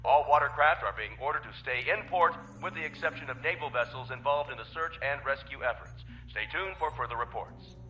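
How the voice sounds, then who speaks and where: An actor playing an authoritative news anchor who reads a scripted special bulletin in a formal, emphatic way. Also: male voice, mid-range voice, a little fast, few pauses, sharp tone, dull audio, faint music behind, close to an everyday voice. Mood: urgent, formal.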